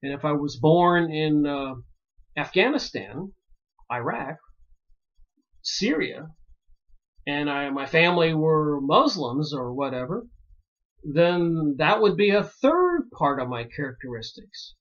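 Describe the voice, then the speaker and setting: A man from Pennsylvania musing aloud in a rambling solo monologue, pausing often and filling gaps with "uh". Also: on the high side, very animated. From Pennsylvania, United States. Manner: slow and musing, many pauses and fillers. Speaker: video commentator. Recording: dull lo-fi recording.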